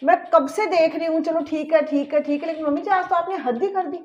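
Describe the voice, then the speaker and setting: A woman's voice: extremely high pitch, brisk, roomy sound, soft background music. A woman playing an earnest young working daughter-in-law who lectures her mother-in-law about fairness in a family skit. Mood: lecturing. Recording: dull lo-fi recording.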